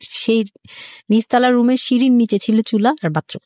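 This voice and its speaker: A woman with a Bangladeshi accent telling her own story informally as a guest, haltingly, repeating phrases. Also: a little high, fairly even intonation, darkish tone, no room sound, clean studio sound.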